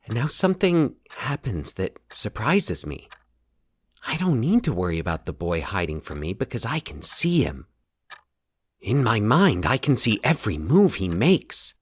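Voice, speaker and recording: A man acting a troubled, anxious man in a fiction podcast, confiding his nightmares haltingly and with dramatic pauses. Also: fairly low pitch, sing-song, slightly rough, no echo, clean studio sound, full character voice. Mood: tense. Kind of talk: dramatic audio fiction monologue.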